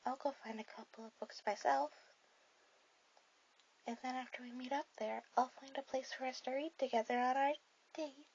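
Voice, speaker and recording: Woman voicing a high-pitched shy anime girl. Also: fairly even intonation, normal speed. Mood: helpful, sweet. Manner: soft-spoken, hesitant, gentle. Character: shy, sweet, bookish teenage anime girl on a date. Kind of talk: ASMR romantic roleplay addressed to the listener. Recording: dull lo-fi audio with clear hiss.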